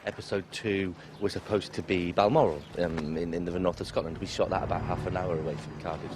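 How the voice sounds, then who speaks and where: A man's voice: low-pitched, steady tone, a little fast, music in the background. A man speaking enthusiastically in an interview outdoors at an industrial location. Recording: loud background noise.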